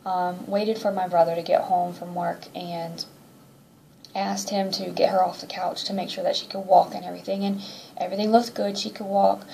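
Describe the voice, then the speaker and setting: A woman's voice: lowish voice, gravelly, darkish tone, a little hiss or hum, low-quality recording. A woman in her thirties giving a chatty, rambling personal update to camera, sounding congested and a little nasal from allergies. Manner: chatty, rambling with many ums. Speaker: vlogger.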